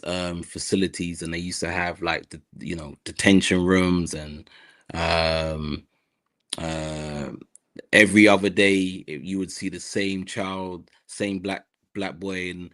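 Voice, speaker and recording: A man with a London accent, a guest on an interview podcast, talking in a hesitant way with many fillers and restarts. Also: low-pitched. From South London, Nigerian heritage. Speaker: guest, mental health advocate.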